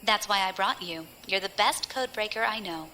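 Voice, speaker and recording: A woman's voice: medium pitch, normal speed, bright, forceful tone, slight background noise, natural voice. An actress playing a cool, scheming female space pirate leader in an audio drama, wry, teasing and self-assured. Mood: smug, playful.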